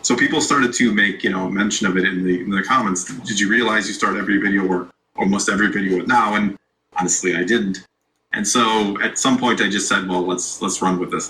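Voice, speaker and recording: A man with a fairly low but bright voice chats casually as an interview guest. He rambles and restarts his sentences as he goes. A faint background noise sits under the recording. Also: rough recording. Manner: relaxed, rambling, many restarts. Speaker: YouTube creator, guest.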